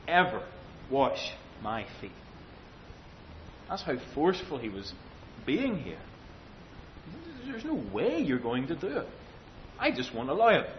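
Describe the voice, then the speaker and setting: A man delivers an expository sermon in an emphatic, deliberate way, leaning on repetition and rhetorical questions. The recording is dull and lo-fi, with a faint rumbling noise underneath. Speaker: preacher.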